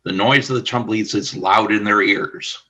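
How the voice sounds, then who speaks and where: A man narrating a scripted radio play as announcer, in a formal, deliberate storytelling manner. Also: fairly low pitch, steady tone, sharp tone, poor audio.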